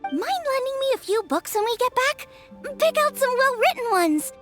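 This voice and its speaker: High, breezy young game heroine. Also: female voice, very animated, normal speed. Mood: breezy, puzzled. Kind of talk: video game voice acting. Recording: music behind game dialogue.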